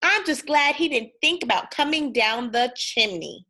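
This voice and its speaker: A feisty little pig from a storybook, acted out by a woman, young, male and defiant. The voice is fairly high and bright, with indignant, animated retorts. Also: unhurried, heavily acted. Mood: relieved.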